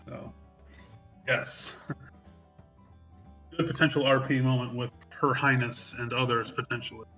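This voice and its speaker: A man's voice: mid-range voice, fairly even intonation, slow, pushed and bright, faint music behind, poor audio. A man talking casually as a player in an online tabletop game, rambling and restarting his sentences. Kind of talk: casual chat during an online game session.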